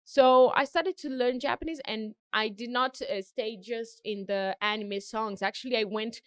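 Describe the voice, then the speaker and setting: A woman's voice: a little high, fairly even intonation, moderate pace, clean tone, no echo, clean studio sound. A young woman with a Brazilian Portuguese accent, a singer chatting as an interview guest, laughing often and restarting her sentences. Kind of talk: casual interview chat.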